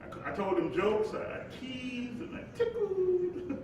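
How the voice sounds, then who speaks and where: An actor playing the spirit of Dr. King, an earnest, reflective preacher and father, in a live stage monologue with halting asides. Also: huge pitch swings, heavily acted. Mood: tender, reflective. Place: live stage before an audience. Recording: echoey hall, distant mic, noisy rumble.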